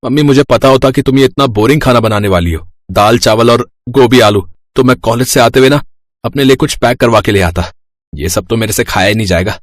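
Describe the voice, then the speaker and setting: A man's voice: mid-range voice, a little fast, no echo, clean studio sound, lightly acted. A man playing a picky, self-centred college student son in a family story, whiny and dismissive.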